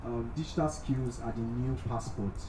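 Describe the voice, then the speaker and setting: A man's voice: medium pitch, steady tone, moderate pace. A young Nigerian man giving a motivational talk to a live audience, often checking in with short tag questions as he goes. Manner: frequently checks in with "right?". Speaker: guest speaker. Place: event space in a mall. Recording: echoey, noisy venue, distant pickup.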